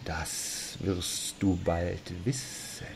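A sly serpent tempter from a radio play, voiced by a man, deep and menacing. The words come extremely slowly. Clear birdsong and noise lie behind the voice. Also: level tone, full character voice. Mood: sly, ominous. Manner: slow, menacing. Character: sly, ominous male talking serpent tempter. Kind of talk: audio drama dialogue.

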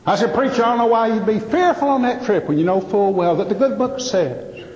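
A man with a Southern US accent telling humorous stories as a folksy speaker, pausing and drawing out his punchlines. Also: sits high, lively intonation, darkish tone. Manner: folksy, drawn-out punchlines with pauses. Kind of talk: stand-up storytelling to a live audience. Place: large indoor hall with a live audience. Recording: muffled, echoey hall PA with some noise.

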